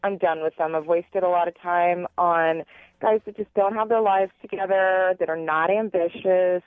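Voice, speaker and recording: A woman's voice: fairly low pitch, fairly even intonation, moderate pace, smooth, dry recording. Tinny narrow phone audio, female caller, casual hedging explanations, dry close sound. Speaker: phone-in guest on a radio show. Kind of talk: casual personal account. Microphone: telephone. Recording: tinny telephone line.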